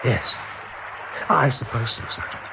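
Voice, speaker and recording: A man's voice: medium pitch, measured pace, gravelly. An actor in a radio play voicing a friendly, level-headed male rabbit leader in a conversational way. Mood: resolute. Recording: noisy old radio play recording.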